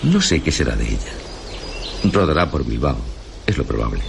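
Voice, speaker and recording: A man's voice: very deep voice, big pitch swings, normal speed, creaky, noisy background, exaggerated voice. An actor from a radio drama playing a grave older male storyteller with a Spain accent, telling a tragic village tale in a solemn, literary manner. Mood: bitter, grave.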